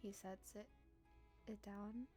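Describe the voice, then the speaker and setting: A woman live-streaming a visual novel, reading aloud and reacting casually in a playful, expressive, teasing way. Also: medium pitch, takes it slowly, soft background music.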